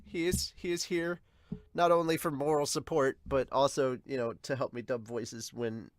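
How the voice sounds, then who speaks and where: A man's voice: mid-range voice, steady tone, moderate pace, no room sound, clean studio sound. Sniffly man rambling casually. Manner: rambling, self-deprecating, mild swearing. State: congested, sniffly from sinus trouble. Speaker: podcast host and manga reactor. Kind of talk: casual reaction commentary.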